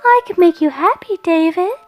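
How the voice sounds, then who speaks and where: A woman voicing an eerie childlike spirit in a story, with a soft, wispy, quivering voice, dreamy and sweetly coaxing. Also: very high voice, animated, normal speed, soft background music, pitched above the performer's own voice. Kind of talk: audio storytelling character voice.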